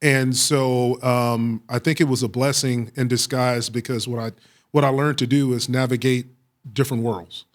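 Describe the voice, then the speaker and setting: A middle-aged American man from Texas answering interview questions with personal stories, speaking reflectively with frequent pauses and repeated phrases. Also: mid-range voice, flat delivery. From Waco, Texas, United States. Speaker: police chief, interview guest.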